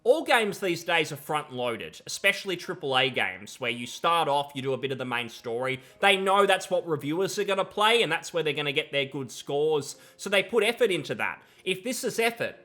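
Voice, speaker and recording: A young man with a fairly high, bright voice delivers gaming commentary into a close desk mic. He is critical and emphatic, openly disapproving as he argues his opinion. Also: very animated, a little fast, hardly any pauses. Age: young adult. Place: small room at home. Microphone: close desk microphone, headset on.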